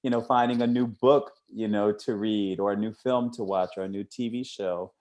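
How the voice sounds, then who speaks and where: A male host with a soft-edged, mellow and fairly low voice. He interviews casually, in a conversational style that wanders into his own thoughts. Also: steady tone, moderate pace. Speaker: interviewer, comic creator.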